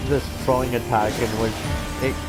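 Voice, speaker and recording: A man gives casual video game commentary at an ordinary pitch. He rambles through explanations with hesitant pauses, while game music plays clearly behind him. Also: level tone, poor audio. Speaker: let's player. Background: video game music and sounds.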